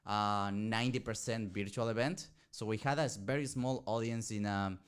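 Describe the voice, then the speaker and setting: A man's voice: lowish voice, fairly even intonation, normal speed, clean tone. A man, an art director, describing his work in casual podcast chat, hesitant and full of fillers. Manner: hesitant, many fillers and restarts.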